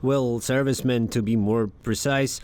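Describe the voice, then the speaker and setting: A man, a captured soldier, answering interview questions in a halting, hesitant way. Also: mid-range voice, flat delivery, darkish tone, smooth. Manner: halting, hesitant, searching for words.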